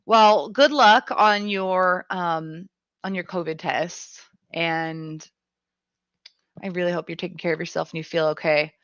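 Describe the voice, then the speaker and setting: A woman radio host who is sick with a cough, talking frankly and casually and swearing now and then. Her voice is bright and forceful but sits right on the mic, with a dry, dull, lo-fi sound. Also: lowish voice, fairly even intonation, measured pace, dry recording. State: sick with a cough and chest tightness. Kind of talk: casual chat reading listener comments. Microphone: close studio mic.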